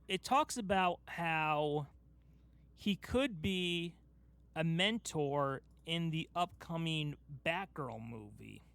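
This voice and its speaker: A man with a slightly high-pitched voice hosts a casual fan discussion. He is enthusiastic and emphatic, often repeating points for stress. The sound is very dry, with no room echo. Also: fairly bright tone, smooth. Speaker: podcast host.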